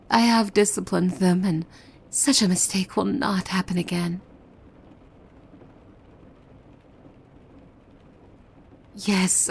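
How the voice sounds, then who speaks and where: Woman acting a slow, hushed possessive demon maid. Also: mid-range voice. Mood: calmly deflecting. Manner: slow, hushed, often breathy whispering, formal servant speech. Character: possessive demon maid, softly menacing, devoted to master. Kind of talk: ASMR roleplay.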